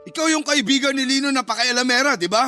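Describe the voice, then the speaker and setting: Radio drama casting: man playing a scornful Filipino father of a grown son, very high raised voice, sharp edge, curt and confrontational, faint music. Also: fast, exaggerated voice. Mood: suspicious, irritated. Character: hostile, cold father of a grown son, irritated.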